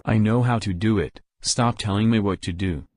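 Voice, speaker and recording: A man acts as a grumbling cartoon computer user with a lowish, dry voice. He is blunt, demanding and easily annoyed. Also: normal speed, darkish tone, no echo, natural voice. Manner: blunt, whiny, complaining.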